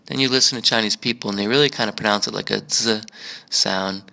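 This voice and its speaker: A man's voice: fairly low pitch, fast, vocal fry, pushed and bright. An American man chatting casually as a travel vlogger in a museum park, rambling with many fillers and restarts.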